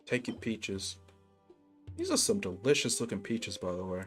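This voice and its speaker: A man's voice: fairly low pitch, sing-song, normal speed, fairly bright tone. A man chatting casually on a gaming livestream in laid-back, slangy bro talk. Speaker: game livestreamer. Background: game music.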